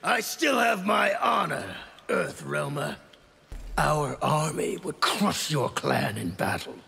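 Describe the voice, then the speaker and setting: A man's voice: on the high side, huge pitch swings, slow, vocal fry, bright, forceful tone, a little hiss or hum, heavily acted. An actor playing a defiant, combative young male soldier in a video game, harsh and proud, in a reverberant space. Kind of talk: video game character acting.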